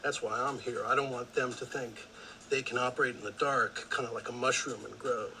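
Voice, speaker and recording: A man from New Jersey speaking in a documentary interview, deliberate and a little wry. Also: medium pitch, steady tone, very noisy. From New Jersey, USA. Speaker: case supporter interviewed in a documentary.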